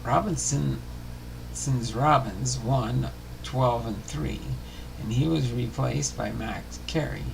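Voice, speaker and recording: A man reading a scripted sports history narration aloud, haltingly, often stumbling and correcting himself. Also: mid-range voice, creaky, background noise. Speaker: host of a sports history show. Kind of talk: scripted narration read aloud, baseball biography.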